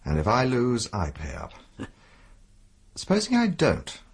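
A man's voice: mid-range voice, huge pitch swings, unhurried, breathy edge, full character voice. An actor playing a wary, educated British man in a radio drama, speaking in a guarded, terse, hesitant way. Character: wary, reserved educated Englishman investigating a sinister scheme.

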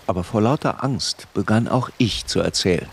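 A man giving a measured, deliberate literary narration in a radio play. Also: fairly low pitch, animated, creaky, slight background noise.

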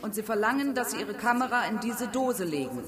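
A woman's voice: a little high, lively intonation, brisk, close to an everyday voice. An actress playing a stern, bureaucratic small woman official in a radio drama. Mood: officious. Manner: formal, bureaucratic. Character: stern small female official guarding a forbidden zone. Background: loud music.

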